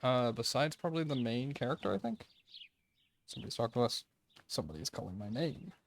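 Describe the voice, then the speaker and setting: A man's voice: medium pitch, expressive, fast, vocal fry, slight background noise. A man hosting a game review video with casual, tentative, unscripted commentary, thinking aloud as he goes.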